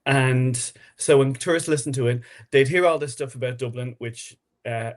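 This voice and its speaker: Man, mid pitch, podcast guest, casual interview talk. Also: normal speed. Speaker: podcast guest, audio drama writer-director.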